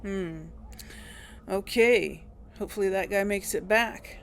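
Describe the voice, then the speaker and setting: Creaky-voiced woman chatting through a game. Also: mid-range voice, slow, a little hiss or hum. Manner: chatty, laughs often, thinks aloud. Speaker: gaming channel host. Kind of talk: casual gameplay commentary.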